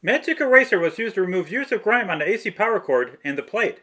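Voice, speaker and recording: A man's voice: high-pitched, sing-song, quick pace, sharp tone. A man reading a scripted, step-by-step technical tutorial narration.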